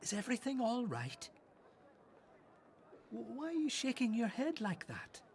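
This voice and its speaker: A woman's voice: fairly high pitch, big pitch swings, measured pace, slight background noise. A woman playing an anxious, concerned worshipper in a radio drama. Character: anxious, concerned worshipper waiting outside the temple.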